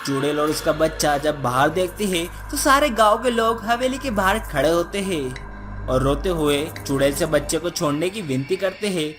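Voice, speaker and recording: A woman's voice: low voice, lively intonation, moderate pace. A woman narrating a scripted moral story for children as a cartoon storyteller. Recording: music behind the voice.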